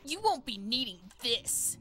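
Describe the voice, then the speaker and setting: A woman's voice: fairly high pitch, big pitch swings, a little slow, bright, forceful tone, soft background music, heavily acted. A woman voicing a cruel, taunting young bully in a fan audio drama. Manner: taunting, sneering.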